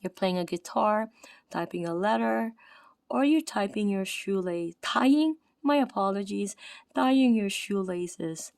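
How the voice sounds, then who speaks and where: A woman's voice: mid-range voice, a little slow, gravelly, a little mellow, smooth, no room sound, studio quality. Tired Filipino woman reading anatomy notes. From Philippines. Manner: reads aloud with slips, self-corrections and filler "okay, right?". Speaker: anatomy study presenter. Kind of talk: reading aloud from a textbook.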